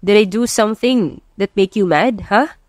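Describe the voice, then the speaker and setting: A woman's voice: mid-range voice, unhurried, no room sound, studio quality, faint music behind, natural voice. Woman acting out a pleading, insistent boyfriend. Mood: anxious, pleading. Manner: demanding, pestering questions. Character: pleading, insistent, cheating boyfriend, adult man. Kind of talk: audiobook romance drama acting.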